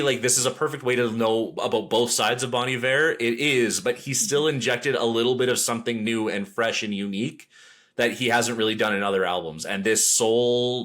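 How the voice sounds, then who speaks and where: Bright-voiced man chatting about music. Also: mid-range voice. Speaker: podcast host reviewing music albums. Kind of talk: casual music review chat.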